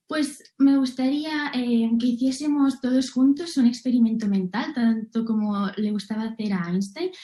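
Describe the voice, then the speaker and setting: A woman's voice: on the high side, warm tone. A young woman from Barcelona giving a formal, didactic academic presentation as a student researcher over a video call. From Barcelona, Spain. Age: young adult. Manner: formal, didactic, with rhetorical questions. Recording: roomy, echoing video call audio.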